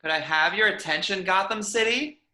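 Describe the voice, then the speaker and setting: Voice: mid-range voice, moderate pace, pushed and bright, natural voice. A performer voicing a sweet young beauty queen addressing a crowd in an amateur table read, with roomy echo. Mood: sweet, announcing.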